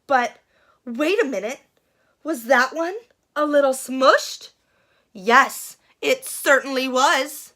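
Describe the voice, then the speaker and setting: A woman reading a picture book aloud for children in an expressive, dramatic and playful way, building suspense. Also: high voice, slow, pauses often. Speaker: children's storyteller.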